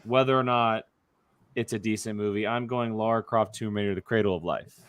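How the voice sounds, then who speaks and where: A man's voice: lowish voice, steady tone, fairly quick, clear, resonant tone. A young man hosting a casual group chat, talking informally as he keeps the show moving. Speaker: host running a movie draft show.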